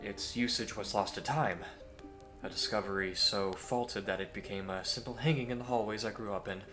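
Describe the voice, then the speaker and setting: A man playing a courteous, earnest young Victorian gentleman hero in a scripted audio roleplay, with formal, hesitant phrasing. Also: lowish voice, fairly even intonation, moderate pace, music in the background, natural voice. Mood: courteous, solemn.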